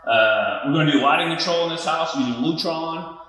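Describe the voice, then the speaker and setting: Male installer, middle-aged, informal walkthrough delivery, slightly high bright voice, heavily echoing empty-house sound. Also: a little fast, slight background noise. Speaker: audio-video installer presenting a job-site walkthrough. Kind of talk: casual walkthrough explanation. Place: large unfinished house interior, hard floors. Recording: echoey empty rooms with long reverb.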